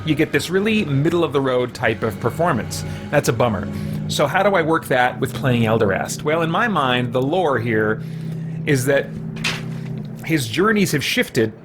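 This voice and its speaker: A man's voice: medium pitch, big pitch swings, moderate pace. A man livestreaming a game, explaining things in casual, rambling commentary. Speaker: livestream gamer. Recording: game music faintly behind.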